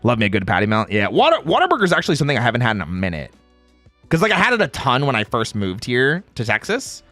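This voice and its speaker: A playful man streams to his viewers with a lively, swooping pitch and a medium-register voice. He talks casually and slangily, often repeating phrases for emphasis. The sound is close and dry, with faint music behind him. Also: quick pace, no room sound. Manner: playful, reads chat aloud and replies. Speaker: livestreamer. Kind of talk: casual livestream chat with viewers.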